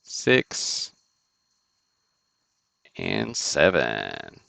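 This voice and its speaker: A man's voice: a bass voice, wildly swooping pitch, extremely slow, vocal fry, bright, forceful tone, no room sound. A man hosting a sports card break livestream, chatting casually and thinking aloud with fillers and restarts.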